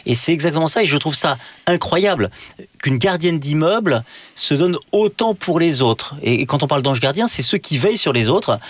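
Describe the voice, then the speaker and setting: A man's voice: fairly high pitch, expressive, normal speed, no room sound. A man working as a radio reporter, asking questions with emphatic admiration. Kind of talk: radio interview.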